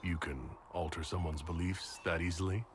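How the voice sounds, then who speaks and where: A man playing a composed, earnest fantasy hero in a video game, measured and inquisitive. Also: deep voice, fairly even intonation, noisy background, natural voice. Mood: curious, wary.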